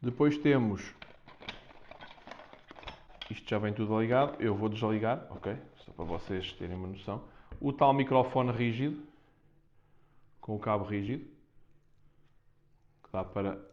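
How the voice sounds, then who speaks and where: A man's voice: fairly low pitch, measured pace, pauses often, a little mellow. A man with a European Portuguese accent talks casually and unscripted to viewers as he presents a product, improvising loosely and repeating himself. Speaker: vlogger presenting a product unboxing. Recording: dull, muffled lo-fi sound.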